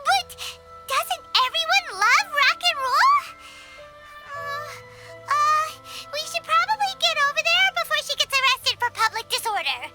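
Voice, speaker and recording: Squeaky, extremely high little fairy. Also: female voice, sing-song, measured pace, heavily acted. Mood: playful. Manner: cutesy, speaks of self in third person. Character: tiny childlike female fairy companion, cheeky and excitable. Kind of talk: video game cutscene voice acting. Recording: loud music behind the voice.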